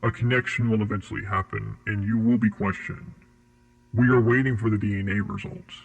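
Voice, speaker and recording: Guy playing creepy secret facility interrogator, lowish husky voice, formal n detached, horror audio drama. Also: fairly quick, dull audio. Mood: clinical, matter-of-fact. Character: cold, clinical male interrogator at a secret facility.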